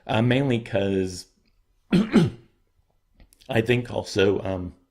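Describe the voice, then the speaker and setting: Very deep-voiced man musing to viewers. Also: very slow, a little mellow. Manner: thoughtful, searching, stops often to weigh his words. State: dry, slightly scratchy throat. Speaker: channel host. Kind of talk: casual address to viewers.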